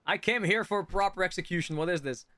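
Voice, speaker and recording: A man giving casual, teasing reaction commentary as he streams a game, quick to exclaim. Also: sits high, pushed and bright.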